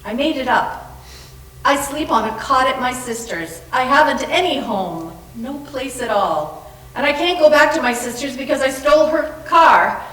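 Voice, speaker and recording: A woman's voice: high voice, expressive, moderate pace, a bit bright. A woman performing a fragile, desperate young woman in a dramatic readers theater piece, earnest and emotive. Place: large library room, live staged reading. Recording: distant echoey room, noisy live recording.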